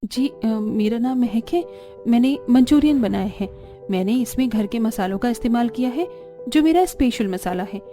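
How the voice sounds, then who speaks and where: A woman's voice: on the high side, animated, moderate pace, mellow, soft background music, close to an everyday voice. A woman voicing a kind, modest young daughter-in-law in a cartoon story, with a polite, sincere manner. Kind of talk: Hindi cartoon story voice acting.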